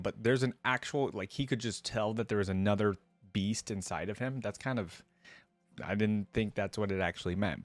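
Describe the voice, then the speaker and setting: A man's voice: lowish voice, no echo. A man hosting a reaction video, talking casually and directly to viewers in a conversational way. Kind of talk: casual reaction commentary with read-aloud story passages.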